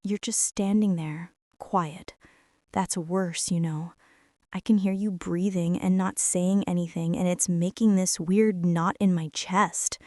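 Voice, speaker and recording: A woman playing a young former bully in an intimate ASMR roleplay, speaking in a hushed, hesitant way that often slips toward a whisper. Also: lowish voice, steady tone, slow pace, dry recording, clean studio sound. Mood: uneasy, confused. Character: young female former bully, stunned, teasing, softening into tenderness.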